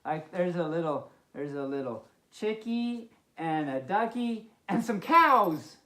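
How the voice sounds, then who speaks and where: Lady doing kids storytime as a playful pup, slow pace, really animated, chatty little comments. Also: lowish voice. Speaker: host of a children's story time. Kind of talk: expressive storybook read-aloud with chatty asides. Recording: roomy, echoing indoor sound.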